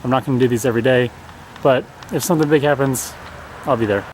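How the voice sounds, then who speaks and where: A man's voice: mid-range voice, fast talker, a little mellow, a little hiss or hum, poor audio. Chatty young man keeping a video diary. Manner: chatty, self-deprecating, laughs at himself. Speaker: vlogger.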